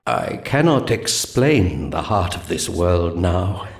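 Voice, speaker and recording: Grave alien seer, echoing. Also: male voice, deep voice, very animated, vocal fry, over music, full character voice. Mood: solemn. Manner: grave, measured, mystical pronouncements. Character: solemn alien seer, male, wise and commanding. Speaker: mission briefer. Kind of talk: 1990s game cutscene voice acting. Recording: roomy reverb on the voice.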